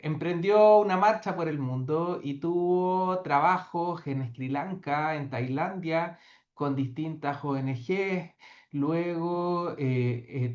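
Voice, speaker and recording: A Chilean man introduces a guest at an academic seminar, pausing often on filler sounds as he goes. His voice sits fairly high for a man. The video call audio is echoey and muffled. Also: darkish tone. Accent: Chilean Spanish. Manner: hesitant, many filler sounds and restarts. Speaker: seminar moderator introducing a speaker.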